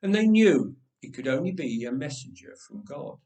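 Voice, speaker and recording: A man telling a story as an expressive narrator, with measured, dramatic phrasing. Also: fairly high pitch. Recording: roomy echoing voice recording.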